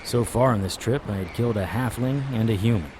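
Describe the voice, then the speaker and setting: A man's voice: lowish voice, a little fast. A man narrating an audio drama in the first person as a sardonic, world-weary vampire hunter, in a hard-boiled style. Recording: audible background noise.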